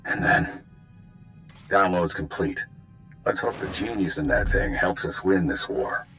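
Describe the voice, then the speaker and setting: A gruff base handler in a video game. His low voice is forceful and very animated, clipped and commanding, with music underneath. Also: a little slow, full character voice. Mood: brisk, weary. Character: brisk, gruff male military intelligence handler at base. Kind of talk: video game cutscene voice acting.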